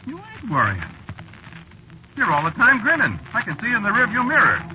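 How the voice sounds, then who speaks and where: An actor playing a talkative, uneasy American cab driver with slangy, ungrammatical speech in an old radio drama. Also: male voice, extremely high pitch, huge pitch swings, fairly quick, full character voice. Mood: agitated. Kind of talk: old-time radio drama acting, first-person narration and dialogue. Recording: muffled, noisy vintage radio recording.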